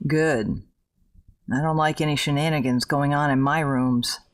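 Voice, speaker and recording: A performer playing a gruff, suspicious motel clerk in an audio drama, blunt, folksy and brusque. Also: medium pitch, fairly even intonation, a little slow, close to an everyday voice. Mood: suspicious, curt.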